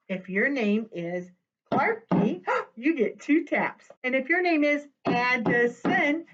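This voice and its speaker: Unhurried, higher-voiced woman hosting children's storytime. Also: dull audio. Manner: animated, addressing small children directly. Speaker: library storytime presenter for young children. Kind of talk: children's storytime read-aloud and singalong.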